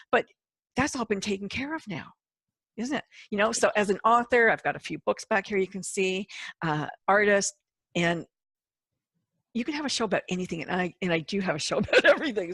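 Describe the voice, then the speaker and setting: A woman's voice: mid-range voice, expressive, a little fast, a bit bright, husky, dry recording. A woman who founded a radio network, talking about her shows in an enthusiastic, chatty way and laughing often. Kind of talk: casual conversation.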